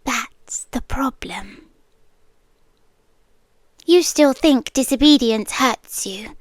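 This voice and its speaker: Woman playing a slow, hushed British siren girlfriend, possessive. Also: high-pitched, no room sound, studio quality. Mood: wounded, controlling. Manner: slow, hushed, dropping often into whispers. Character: centuries-old possessive siren girlfriend, tender yet menacing. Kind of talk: yandere girlfriend ASMR roleplay. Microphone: close, dry microphone.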